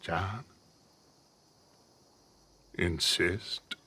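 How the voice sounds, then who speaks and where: An actor playing a charismatic, scheming American outlaw gang leader in a dramatic video game, speaking in grandiose, theatrical speeches. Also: male voice, low-pitched, steady tone, very slow, bright, forceful tone, breathy edge, heavily acted. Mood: tense, resentful.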